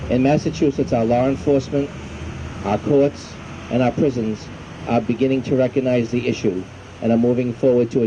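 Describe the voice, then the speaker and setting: A man reading a formal prepared ceremonial speech as a veterans' group commander, solemn and measured. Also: mid-range voice. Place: outdoor evening ceremony in a park. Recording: muffled, faint outdoor hum and traffic noise.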